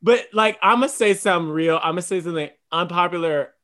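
A man's voice: high voice, brisk, sharp tone. A man chatting casually about gossip in a slangy, campy, exclamatory way, stressing and repeating words for dramatic effect.

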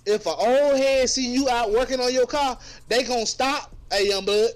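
High-voiced young Southern man with music. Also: steady tone, normal speed. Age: 20s. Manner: slangy, emphatic. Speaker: podcast co-host. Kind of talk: casual, profane comedic chat.

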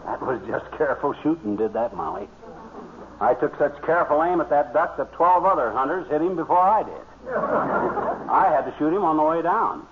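An American actor playing a blustery, bragging amateur hunter and husband in folksy, slangy 1940s radio comedy. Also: male voice, on the high side, lively intonation, normal speed, gravelly. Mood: self-justifying. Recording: tinny vintage radio recording, faint noise.

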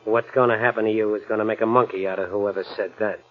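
A man's voice: fairly low pitch, steady tone, fast talker, loud music behind the voice, natural voice. An actor playing a menacing, sneering male gangster with tough, slangy talk in 1940s radio style. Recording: tinny, narrow old radio recording.

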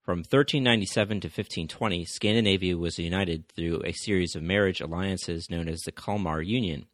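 A man's voice: low-pitched, fairly quick, a bit bright, no echo, studio quality. A man hosting a history podcast, reading a scripted narration steadily, with the odd stumble and restart. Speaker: podcast host.

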